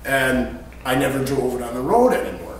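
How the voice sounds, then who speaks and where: A man's voice: medium pitch, very animated, a little fast. American man telling stories in an echoey room. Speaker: storyteller. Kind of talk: casual anecdotal storytelling. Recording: echoey room, distant mic, faint hum.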